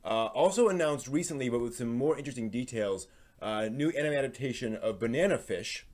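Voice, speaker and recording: A man hosting a live stream, delivering news commentary in an informal, halting way and often trailing off at the ends of phrases. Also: mid-range voice, lively intonation, a little mellow.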